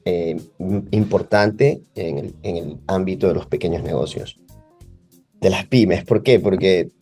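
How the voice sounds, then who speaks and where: A man with a low, dark, mellow voice and an Ecuadorian Spanish accent. He explains things at length and fills the gaps with many hesitations. Faint music plays behind him. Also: a little slow, husky. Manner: explanatory, uses many fillers. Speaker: guest. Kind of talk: podcast interview.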